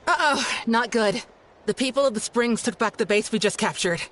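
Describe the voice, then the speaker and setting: A woman's voice: a little high, normal speed, a bit bright, a little hiss or hum, lightly acted. A woman voicing an alarmed scout in a video game, giving urgent reports. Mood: worried. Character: alarmed female scout reporting enemy movements.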